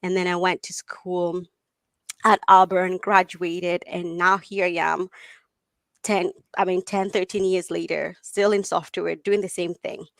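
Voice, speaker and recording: A woman whose first language is Swahili, a tech founder answering interview questions in a lively way, laughing often and restarting sentences. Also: lowish voice, a little slow, vocal fry, dry recording. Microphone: video call.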